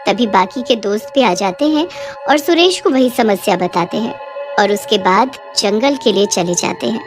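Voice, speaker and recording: A woman's voice: medium pitch, lively intonation, normal speed, music in the background. A woman narrating a scripted cartoon horror bedtime story.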